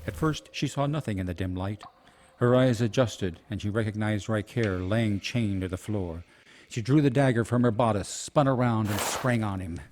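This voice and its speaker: A man's voice: lowish voice, normal speed, a little mellow, slight background noise. A man narrating a scripted fantasy radio play in a dramatic storytelling style. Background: music.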